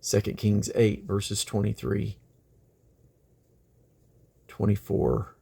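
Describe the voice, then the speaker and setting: Guy reading old king james bible verses out loud, gravelly creaky voice, pauses between phrases. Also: lowish voice, completely flat delivery. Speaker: Bible reader.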